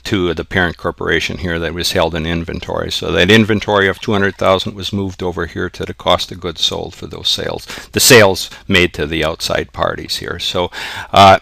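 A man's voice: sits low, little pitch movement, fairly quick, creaky, fairly bright tone, dry recording. A man narrating an informal tutorial as an accounting instructor, explaining worked examples step by step. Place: close indoor voice-over for a screen recording.

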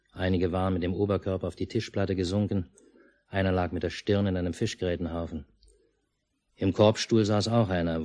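An actor playing a stoic, dryly grumbling country father and narrator in a 1950s German radio play, laconic and unhurried. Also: low-pitched, dark tone, dry recording.

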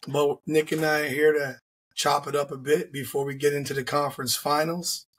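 A hoarse man, getting over the flu, hosting a casual sports podcast with wry, teasing remarks over a video call from home. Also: on the high side, level tone, normal speed, fairly bright tone. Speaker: podcast host. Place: home room with shelves. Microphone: video call, headset.

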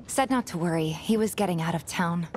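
An actress with an American accent playing a warm, earnest young woman fighter in a video game. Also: fairly low pitch, brisk, slight background noise, natural voice. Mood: warm, gentle. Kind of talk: video game cutscene voice acting.

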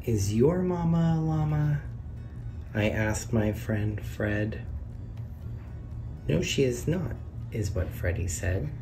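A man's voice: medium pitch, animated, takes it slowly, gravelly, pauses often, recorded from a distance, over music. A man reading a children's picture book aloud in a sing-song way, lifting his voice on each question and reveal. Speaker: read-aloud narrator. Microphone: camera over the book, hands on pages.

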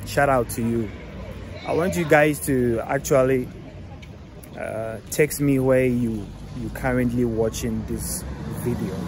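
A young man films himself on a phone held out at arm's length as he walks a town street. He talks slowly and casually to his viewers. Clear traffic noise runs behind him. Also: mid-range voice. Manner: chatty, repeats shout-outs and asks viewers to subscribe. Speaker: travel vlogger. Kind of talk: casual walking-tour vlog. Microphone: phone camera held at arm's length.

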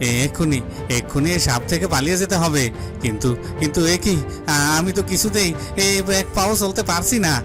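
A man's voice: on the high side, a little fast, over music, close to an everyday voice. A man playing a panicky bandit henchman in a fairy-tale cartoon, stammering and dramatic. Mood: panicked.

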